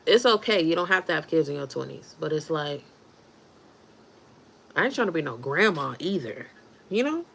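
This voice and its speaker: A woman's voice: low voice, big pitch swings, normal speed, vocal fry, pauses often, fairly bright tone, slight background noise, poor audio. A woman in her thirties chatting casually on a livestream, reacting to viewer comments as she talks. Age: 30s. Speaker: livestream host.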